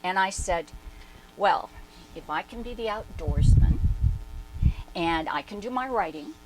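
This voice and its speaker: A woman's voice: medium pitch, lively intonation, unhurried, background noise, natural voice. A woman performing a dramatic reading as a brash, independent-minded woman recalling her past in emphatic, conversational asides. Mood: candid, independent. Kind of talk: dramatic reading, animated first-person storytelling.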